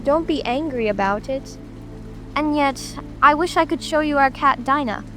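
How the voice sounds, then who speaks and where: A woman's voice: high voice, normal speed, fairly bright tone. A woman playing a polite, curious little girl who speaks earnestly and apologetically in a dramatic audiobook. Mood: apologetic. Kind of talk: dramatic audiobook character reading. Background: music, rain ambience.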